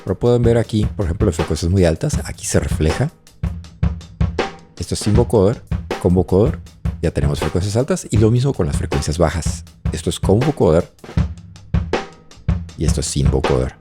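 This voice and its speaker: Low-voiced man teaching audio mixing. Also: animated, brisk, pauses often, over music. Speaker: music production tutorial presenter. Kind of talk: software tutorial, explaining while demonstrating. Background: drum loops playing.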